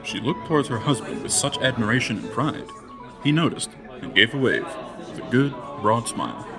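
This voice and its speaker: A man's voice: mid-range voice, animated, normal speed, music in the background, crowd noise. A man narrating a scripted gothic audio drama in a florid, dramatic manner.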